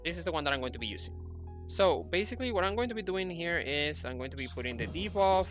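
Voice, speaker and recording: A man's voice: on the high side, animated, fast talker, fairly bright tone. A young man giving casual game commentary, explaining things and thinking aloud as he plays. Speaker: gaming YouTuber. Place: indoor room at a computer. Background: game music.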